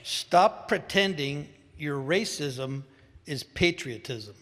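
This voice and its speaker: A man's voice: fairly high pitch, lively intonation, takes it slowly, fairly bright tone. A man reciting short scripted statements, with long hall reverb around his voice. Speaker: reader of short personal statements.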